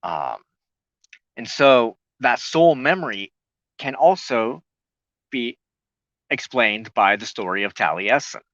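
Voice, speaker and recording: Guy hosting a spiritual talk, slow n halting, keeps searching for words, kinda muffled dry audio. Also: mid-range voice, animated, a bit bright, no room sound. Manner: halting, pausing often mid-sentence while searching for words. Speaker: host of a spiritual talk show. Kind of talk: loose explanatory talk on a spiritual broadcast.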